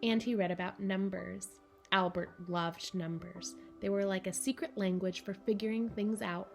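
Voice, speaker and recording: A woman reads a children's picture book aloud in a mid-pitched voice. Her phrasing is measured and deliberate, with pauses for drama, and music plays clearly behind her. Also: steady tone. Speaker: storybook reader for children.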